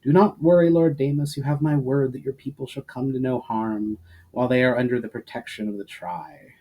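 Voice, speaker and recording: A man's voice: medium pitch, steady tone, fairly quick, mellow, natural voice. A man voicing a confident, courteous cavalry leader in a fantasy storybook, speaking in a formal, assured manner. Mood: reassuring.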